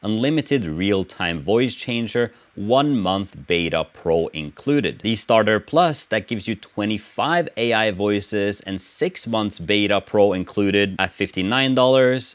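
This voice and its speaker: A man's voice: lowish voice, no echo. A man in his thirties narrating a casual tech tutorial as its host.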